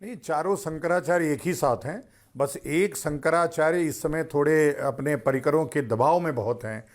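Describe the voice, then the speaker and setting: A male religious panelist on a TV debate, explaining in a measured way. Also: fairly high pitch.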